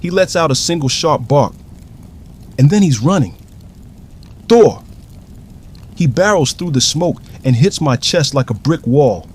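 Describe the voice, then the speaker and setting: A man's voice: a little high, animated, unhurried, long pauses, a little hiss or hum. An actor playing a shaken, angry man who narrates his own danger in tense, clipped phrases for an audio drama. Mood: startled relief.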